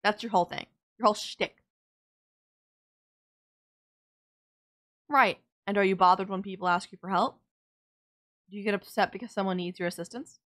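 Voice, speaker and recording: A woman's voice: mid-range voice, steady tone, fast, fairly bright tone, lightly acted. Woman acting as a sardonic but caring villainess. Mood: concerned, earnest. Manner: blunt, teasing, exasperated yet nurturing. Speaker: voice actor. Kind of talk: audio roleplay drama, intimate one-sided dialogue.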